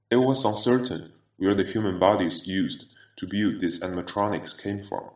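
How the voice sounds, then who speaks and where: Guy doing a really low menacing half-machine villain, slow grave horror game monologue, echoey and kinda far off. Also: natural voice. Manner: grave, deliberate, ominous delivery. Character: Vincent, vengeful half-machine former attorney, brooding and menacing. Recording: echoey, distant-sounding voice.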